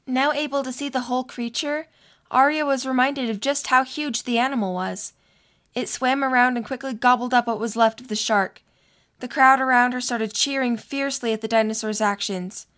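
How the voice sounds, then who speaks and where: A woman's voice: high voice, fairly even intonation, moderate pace, a bit bright. A young adult woman reading scripted story narration for an audio drama.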